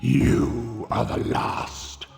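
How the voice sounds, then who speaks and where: A man voicing a decayed, monstrous vampire in a video game, weary, bitter and menacing, with a gravelly, hissing croak and drawn-out delivery. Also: music in the background. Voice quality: gravelly, croaking, breathy hiss. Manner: slow, drawn-out, theatrical menace. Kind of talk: video game cutscene acting.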